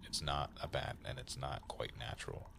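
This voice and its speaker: A man with an extremely deep, creaky voice talks through his moves in a tabletop game. He is casual and unhurried, feeling his way through each idea. Faint noise sits behind him.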